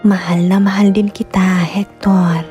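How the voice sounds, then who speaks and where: An actress playing a loving, wistful wife and mother in a sentimental radio drama, speaking softly and emotionally with a Filipino accent. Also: mid-range voice, little pitch movement, unhurried, lightly acted. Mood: grateful, tender. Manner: soft, heartfelt, emotional. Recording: close dry studio, music bed.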